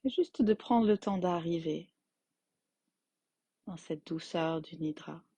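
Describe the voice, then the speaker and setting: A woman guiding a yoga nidra relaxation as its teacher, in gentle, unhurried phrases with long pauses. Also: fairly low pitch, husky, no echo. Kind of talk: guided meditation, slow soothing instruction.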